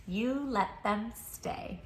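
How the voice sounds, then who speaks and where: A woman reading a picture book aloud to children in an expressive, affectionate, playful way as a storytime host. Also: mid-range voice, drawn-out words. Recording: roomy echo, faint music behind. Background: faint music.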